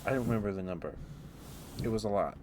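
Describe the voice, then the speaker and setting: A man livestreamer chatting casually in an ASMR stream, rambling in a hesitant, unhurried way. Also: on the low side, noisy background.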